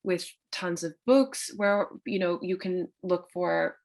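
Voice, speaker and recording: Low-voiced enthusiastic woman moderator. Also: slow pace, creaky. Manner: enthusiastic, encouraging. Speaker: panel moderator. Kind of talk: panel discussion.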